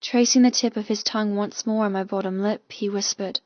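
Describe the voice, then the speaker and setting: A woman reading a first-person romance audiobook with intense, expressive, dramatic delivery. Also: medium pitch, a little fast, no room sound, highs cut off. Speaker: audiobook narrator.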